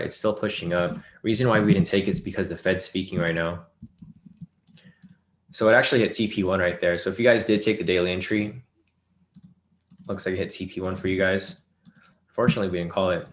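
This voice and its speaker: A man's voice: low-pitched, echoey room. A man hosting a live trading webinar, talking through charts and chat questions loosely with many fillers, his voice worn from a long day of sessions. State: losing his voice. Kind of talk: live screen-share trading lesson.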